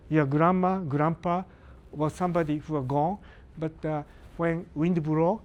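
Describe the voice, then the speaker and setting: An older man giving a live lecture in halting, non-native English, pausing often and putting questions to the audience. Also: fairly high pitch, a little mellow. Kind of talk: live academic talk to an audience.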